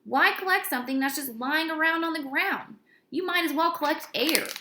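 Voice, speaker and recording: A woman's voice: high-pitched, normal speed, noisy background, pitched above the performer's own voice, close to an everyday voice. A woman voicing a grumbling young schoolboy who hates school, complaining emphatically in a children's storybook. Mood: scornful. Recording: roomy, echoey indoor recording.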